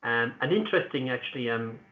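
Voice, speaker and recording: A man with an Irish accent answering interview questions in an earnest, deliberate way, pausing often with fillers. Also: medium pitch, animated. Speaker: interview guest, activist. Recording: tinny echoey call line.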